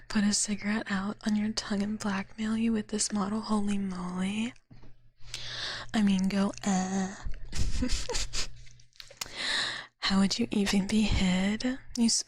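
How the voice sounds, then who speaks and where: A young woman whispering softly to her viewers in a drowsy, teasing chat, tired and a bit out of it. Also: mid-range voice, husky, dry recording. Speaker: streamer.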